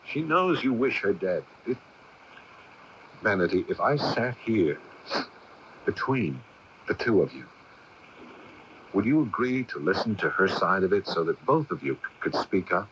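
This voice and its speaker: An actor playing an earnest, probing young man in a 1980s radio drama, intent and a little halting. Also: low-pitched, sing-song, a bit bright, lo-fi sound, heavily acted. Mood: pleading. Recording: noisy 1980s AM radio broadcast.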